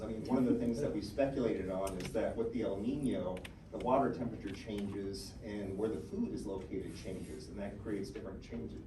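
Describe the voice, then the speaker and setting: A man's voice: on the low side, dark tone. A man answering questions at a public homeowners meeting, explaining plainly with some filler words. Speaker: harbor official answering questions. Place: community meeting hall. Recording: echoey, distant, noisy meeting room.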